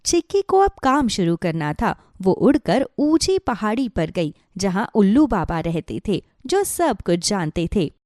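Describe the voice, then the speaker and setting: A woman narrating a scripted children's story in an expressive storytelling manner. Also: mid-range voice, a little slow, hardly any pauses, dry recording, studio quality.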